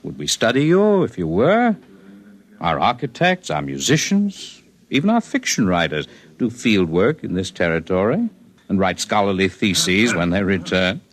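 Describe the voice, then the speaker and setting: An actor playing a calm, scholarly alien healer man in a 1950s radio drama, measured and precise in speech. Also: fairly low pitch.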